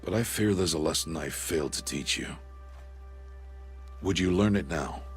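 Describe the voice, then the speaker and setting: An actor playing a stern, stoic older hunter and mentor in a video game, grave and formal. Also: male voice, sits low, moderate pace, close to an everyday voice. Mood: solemn. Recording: music under the voice. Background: music.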